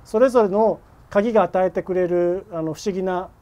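A man's voice: high-pitched, steady tone, moderate pace, warm tone, highs cut off. A man in his forties explaining things politely and somewhat hesitantly as a journalist guest in a video-call interview.

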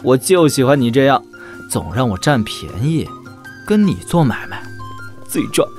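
Man playing a lively, cocky young prince. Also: medium pitch, normal speed, faint music behind, close to an everyday voice. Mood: teasing. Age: young adult. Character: young lordly prince, cocky, teasing, sardonic. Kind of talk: Chinese wuxia audio drama acting.

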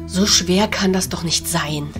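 A woman playing a gentle, sweet, persuasive heroine, soft and unhurried, in a fantasy audio drama. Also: on the low side, lightly acted. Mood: determined. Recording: music behind the voice.

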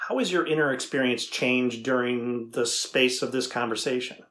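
A man acts as a middle-aged male researcher in a dramatized interview. His voice sits at an average pitch. He is earnest and curious, putting each question deliberately. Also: a bit bright, natural voice. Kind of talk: dramatized transcript reading.

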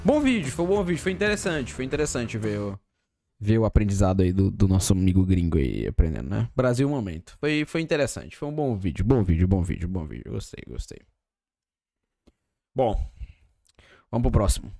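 A man's voice: fairly low pitch, very animated, a little fast, creaky, dark tone, dry recording, studio quality. A man casually commenting over a video on a live stream, joking and thanking viewers. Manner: casual, joking asides to chat.

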